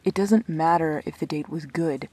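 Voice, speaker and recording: A woman's voice: sits low, moderate pace, dark tone, no echo. A woman playing a guarded, defensive, brooding immortal in an intimate sci-fi audio drama, terse and hesitant. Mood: bitter, despairing.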